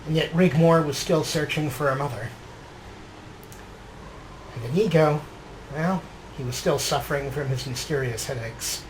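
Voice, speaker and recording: A man's voice: on the high side, normal speed, pauses often, a little hiss or hum, rough recording. A man narrating a video game series as its host, partly reading in character. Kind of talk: scripted in-character journal narration and show commentary.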